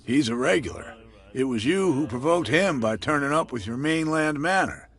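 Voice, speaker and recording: A wary island barkeeper. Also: male voice, a little high, expressive, moderate pace, fairly bright tone. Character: gruff middle-aged male island barkeeper. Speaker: bartender.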